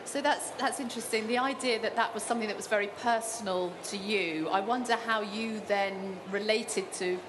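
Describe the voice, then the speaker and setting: A woman interviewer asking gentle, searching questions, with fillers as she forms them. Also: medium pitch, moderate pace, few pauses, background noise.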